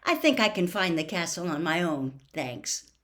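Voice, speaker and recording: A plain-spoken teenage schoolboy hero , read by a woman from a storybook. He is polite and casual, with lively shifts of pitch. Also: moderate pace. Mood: polite, curious.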